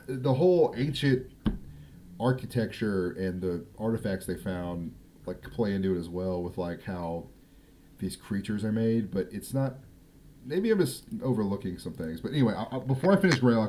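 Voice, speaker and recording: Deep voiced guy doing casual livestream reactions, exclaims a lot, bit of noise behind him. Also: warm tone. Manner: informal, exclamatory. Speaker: livestream host.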